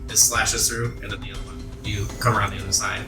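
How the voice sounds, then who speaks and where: A man's voice: lowish voice, flat delivery, fast talker. A man narrating a tabletop roleplaying game as game master, in informal, descriptive storytelling. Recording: roomy, distant mic, music underneath. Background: music.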